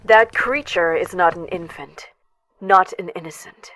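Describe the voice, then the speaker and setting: A woman's voice: on the low side, measured pace, a bit bright, poor audio. An actress playing a blunt, stern, scornful warrior woman in a motion comic.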